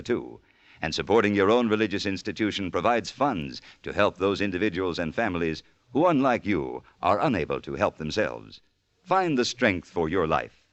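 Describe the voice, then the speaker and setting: A man announcing on 1950s radio in a measured, formal, earnest scripted style. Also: sits low, no echo. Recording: dull vintage radio broadcast.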